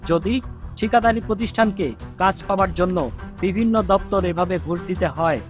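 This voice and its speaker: A Bangladeshi man narrates a scripted investigative report in a high-pitched voice. Music plays clearly behind him as he reads in a reporter's style. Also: brisk. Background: background music.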